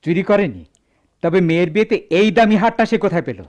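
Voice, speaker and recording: An actor playing a proud gold merchant boss in a radio drama, speaking formal Bangladeshi Bengali with theatrical emphasis. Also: male voice, high voice, big pitch swings, fast talker, a little mellow. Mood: stern, suspicious. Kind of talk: Bengali radio play acting. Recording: dull lo-fi radio drama recording.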